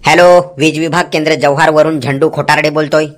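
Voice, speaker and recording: A man's voice: on the high side, steady tone, a little fast, lightly acted. A man voicing an officious, evasive electricity office clerk in a comedy cartoon, defensive and given to explaining. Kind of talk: Marathi comedy cartoon dialogue. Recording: music behind the voice. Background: music.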